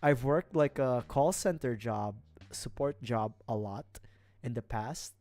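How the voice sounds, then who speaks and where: A man's voice: mid-range voice, slow, a little mellow. A young man with a Filipino accent, chatting casually on his livestream in rambling, giggly asides. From Philippines. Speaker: streamer. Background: faint music.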